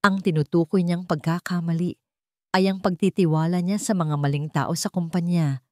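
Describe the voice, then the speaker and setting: Low-voiced Filipino woman narrating dramatic fiction. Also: fairly even intonation, moderate pace, no room sound, clean studio sound. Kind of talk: scripted dramatic story narration.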